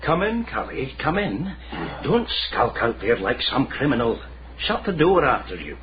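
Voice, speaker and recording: An actor playing a condescending, quietly menacing Scottish Lord Provost in a radio drama, measured and insinuating. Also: male voice, mid-range voice, sing-song, close to an everyday voice. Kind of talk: period radio drama acting. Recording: muffled, noisy radio recording.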